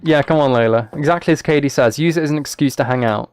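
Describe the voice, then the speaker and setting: A man's voice: fairly high pitch, fast talker, a little mellow, clean tone. A young British man chatting casually on a gaming livestream, with a wry, playfully exasperated way of talking. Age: late teens to early 20s. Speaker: live streamer.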